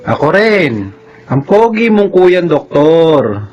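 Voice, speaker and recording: A man's voice: high-pitched, sing-song, takes it slowly, a little mellow, heavily acted. A man playing a teasing, affectionate older brother, a young doctor, in a Filipino radio drama. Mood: teasing, fond. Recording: dull lo-fi radio sound.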